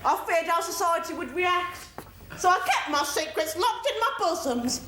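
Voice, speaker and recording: A woman playing a brassy, melodramatic mother in a stage pantomime, declaiming hammily with comic pauses. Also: very high voice, lively intonation. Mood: mock-solemn confession. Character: brassy, melodramatic pantomime mother confessing a secret love affair. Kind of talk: stage pantomime comedy acting. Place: theatre stage before a live audience. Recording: roomy theatre recording with noisy audience.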